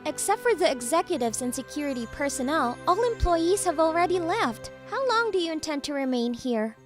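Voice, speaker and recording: A bossy, teasing young lady boss from a manga dub played by a woman. Her voice is extremely high and leaps around in pitch as she needles and gives orders. Light music runs behind her. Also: sing-song, a little fast. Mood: stern, needling. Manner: commanding, needling. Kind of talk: anime-style manga dub acting.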